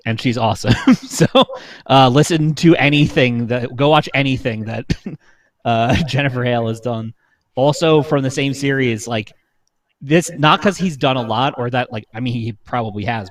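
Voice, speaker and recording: Guy in his 30s, mid-pitched, geeking out on a podcast, casual and sweary. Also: moderate pace. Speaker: podcast panelist. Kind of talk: casual enthusiastic chat about voice actors.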